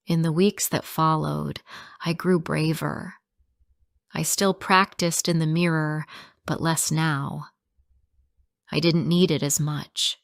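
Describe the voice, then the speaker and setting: A woman reading a simple first-person story for English learners, in slow, clearly spoken sentences. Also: low-pitched, fairly even intonation, no echo, clean studio sound.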